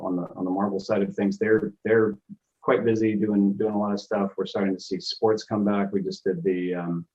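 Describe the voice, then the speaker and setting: Man, low husky voice, tired, halting with fillers, dull lo-fi call audio. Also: a little mellow. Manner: halting, many fillers and restarts. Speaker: guest producing live events. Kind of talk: casual video call chat.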